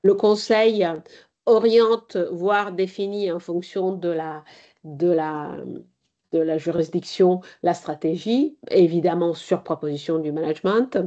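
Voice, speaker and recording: Middle-aged woman, slow and measured, mellow lower voice, conference guest. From Italy. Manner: measured, hesitant with many pauses. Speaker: board chair and guest speaker. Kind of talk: conference talk. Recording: slightly dull lo-fi sound.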